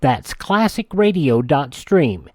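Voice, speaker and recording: A man's voice: on the high side, sing-song, slow, a little mellow, no room sound, clean studio sound. A man with an American accent announcing a radio program from a script, as its host.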